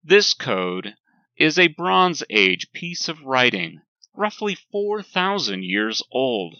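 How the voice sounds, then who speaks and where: A man reading a scripted, lecture-like sermon in a measured, emphatic way with deliberate pauses. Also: medium pitch, sing-song, pushed and bright, dry recording, lo-fi sound. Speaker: reader of a written sermon.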